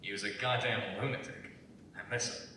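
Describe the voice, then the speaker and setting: A man playing a haunted, guarded war veteran in a staged reading, speaking in a measured, brooding way. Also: steady tone, sharp tone. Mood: wry, nostalgic. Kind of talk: staged dramatic script reading. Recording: very echoey, distant, low rumble.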